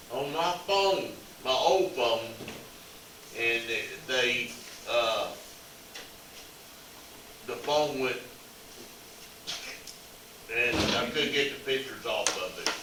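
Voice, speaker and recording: Halting man, distant, very echoey. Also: mid-range voice, lively intonation, pushed and bright. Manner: halting, many restarts and "uh"s. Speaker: Bible study group member. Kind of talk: casual chat. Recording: very echoey room, far from the mic, noisy.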